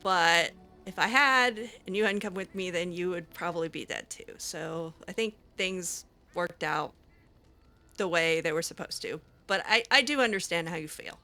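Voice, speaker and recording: A woman's voice: on the low side, normal speed, pushed and bright, soft background music. A woman roleplaying her character in a video game, talking hesitantly with many fillers and a snarky edge. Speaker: gaming roleplay host.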